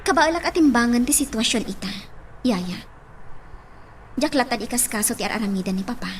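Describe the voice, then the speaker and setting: A woman's voice: fairly high pitch, animated, brisk, slight background noise, natural voice. A woman with an Ilocano accent playing a troubled, dutiful young daughter in a radio drama.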